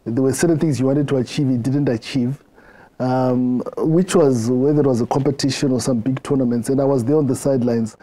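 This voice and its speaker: A middle-aged man with a Zimbabwean accent, answering interview questions in a reflective way, often halting and repeating words. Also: medium pitch, fairly even intonation, dark tone, rough recording. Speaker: interview guest.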